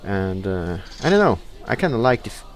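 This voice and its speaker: A man's voice: fairly low pitch, sing-song, moderate pace. A young man with a Norwegian accent casually commenting on a computer game, hesitant and full of fillers as he reads the screen. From Norway. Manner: hesitant, many uh fillers, reading in-game text aloud. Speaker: let's play host.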